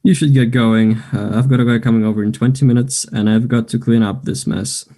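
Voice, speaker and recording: A cocky, dismissive young man, performed by a man in a play reading. His voice is dark and relaxed at a middle pitch. The delivery is blunt and sardonic, in short clipped replies. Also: a little fast, lightly acted. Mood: scornful, dismissive. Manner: curt, sardonic. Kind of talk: play table read.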